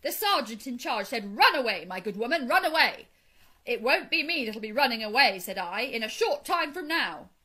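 A woman's voice: fairly high pitch, sing-song, moderate pace, pushed and bright, exaggerated voice. A woman voicing a cheeky, eager male mole in a storybook, exclamatory and pleased with himself. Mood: smug, gleeful.

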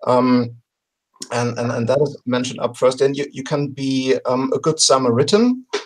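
A man in his forties chatting casually as a guest on a video call, explaining things haltingly and thinking aloud. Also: medium pitch, a little hiss or hum, rough recording. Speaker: podcast guest. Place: home room with bookshelves. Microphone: computer mic on a video call.

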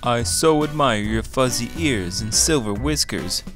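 A man's voice: mid-range voice, lively intonation, a little slow, music in the background, natural voice. A man voicing a polite, admiring, confiding unicorn in a children's picture book. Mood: shyly admiring.

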